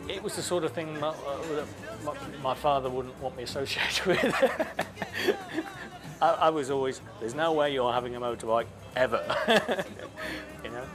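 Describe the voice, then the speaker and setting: A man's voice: medium pitch, normal speed. A middle-aged man and motorcycle club member, telling his memories in an interview, chatty and laughing easily, with frequent restarts. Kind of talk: interview recollection. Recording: music playing underneath.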